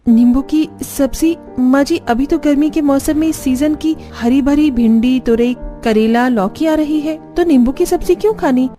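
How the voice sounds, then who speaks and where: A woman's voice: sits high, moderate pace, few pauses, warm tone, soft background music, close to an everyday voice. A woman voicing a young daughter-in-law in a cartoon story, outwardly polite to her elders and given to grumbling and muttered complaints. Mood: dismayed complaining. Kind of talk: Hindi cartoon story acting.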